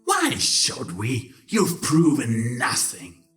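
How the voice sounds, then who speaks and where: A man's voice: mid-range voice, wildly swooping pitch, slow, fairly bright tone, soft background music, full character voice. A man voicing a sly male changeling who taunts with a smug, mocking drawl in a fantasy audio drama.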